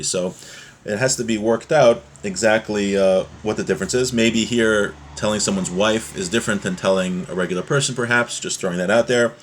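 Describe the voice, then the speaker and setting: A man's voice: lowish voice, a bit bright. A man teaching a religious text, reading passages aloud and explaining them in an informal, plain-spoken way.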